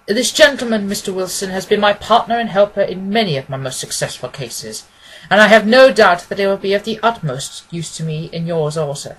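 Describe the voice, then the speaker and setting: A man voicing a brisk, commanding, courteous gentleman detective from a storybook, speaking formally and emphatically. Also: sits high, expressive, husky, exaggerated voice, poor audio. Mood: cordial, emphatic.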